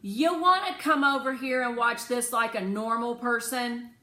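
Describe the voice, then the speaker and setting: Woman doing a forceful, exasperated, short-tempered dad. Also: on the high side, unhurried, pitched above the performer's own voice. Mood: irritated. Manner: curt, weary, exasperated. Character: short-tempered, exasperated father of a young girl. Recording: roomy echo, faint background noise.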